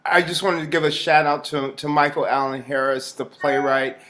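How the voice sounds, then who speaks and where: A man speaking as an interview guest, conversational and earnest, with halting delivery. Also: a little high, fairly even intonation, bright, forceful tone.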